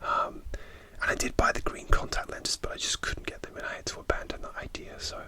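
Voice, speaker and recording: A man's voice: fairly quick, bright, forceful tone. A man whispering a rambling, hesitant story as an ASMR creator, speaking right up close to the microphone with frequent restarts.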